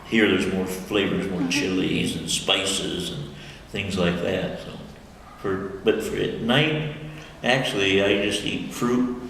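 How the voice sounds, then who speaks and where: A man's voice: sits low, steady tone, slow pace, gravelly, a bit bright. An older man from the United States, answering interview questions in a rambling, self-correcting way and chuckling often. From United States, Michigan. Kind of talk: casual conversational interview. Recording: echoey room with long reverb and faint noise.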